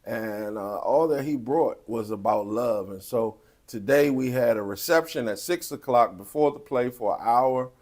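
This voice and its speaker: A man speaking as a pastor and producer in a post-show interview, with a deliberate, halting delivery. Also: mid-range voice, a little mellow.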